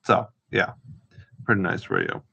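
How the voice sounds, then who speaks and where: A man giving a casual, rambling show-and-tell as an amateur vlogger, haltingly searching for words and repeating himself, on muffled home video audio. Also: sharp tone. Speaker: amateur vlogger showing gear.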